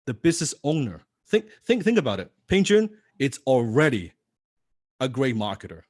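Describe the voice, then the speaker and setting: A man's voice: medium pitch, sing-song, normal speed, darkish tone, dry recording, studio quality. A man teaching copywriting in a live seminar, non-native in English, firing rhetorical questions at the audience. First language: not English.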